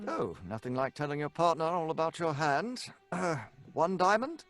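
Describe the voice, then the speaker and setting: A man playing a dry, teasing English gentleman in an old-fashioned radio drama, clipped and with an English accent. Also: a little high, expressive, moderate pace, dry recording, faint music behind. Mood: edgy, dry. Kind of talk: 1930s-style radio drama acting.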